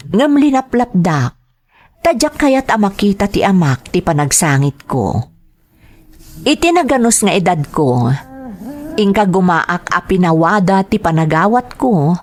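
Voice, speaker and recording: Actress as sad young woman narrating her life story, radio drama style, lowish expressive voice. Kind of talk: dramatized first-person narration.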